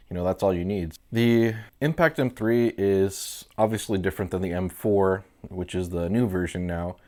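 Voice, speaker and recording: A man in his thirties with a stuffy nose from a cold, casually walking through the features of his airgun in an indoor studio. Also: sits low. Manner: relaxed, easygoing explanations with fillers. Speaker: airgun reviewer. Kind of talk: casual product walkthrough. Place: indoor studio room with red lights.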